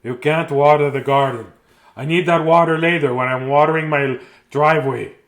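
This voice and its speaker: A man's voice: on the high side, steady tone, moderate pace, a bit bright. A stubborn old grandfather from a picture book, put on by a man. He is grouchy and emphatic, laying down the rules firmly. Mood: grouchy refusing.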